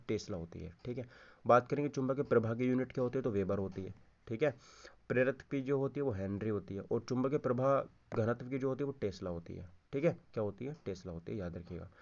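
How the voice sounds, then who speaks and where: A man's voice: medium pitch, darkish tone, highs cut off. A man teaching an informal exam-prep lesson, repeating key answers, checking in with listeners and mixing Hindi with English terms. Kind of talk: informal lesson, going through quiz questions.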